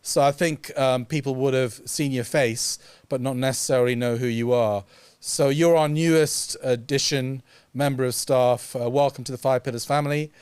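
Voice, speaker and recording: Relaxed friendly guy hosting, crisp voice, close dry sound. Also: medium pitch, moderate pace, dry recording. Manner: relaxed, friendly, asks questions. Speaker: editor and co-host. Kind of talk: casual studio chat.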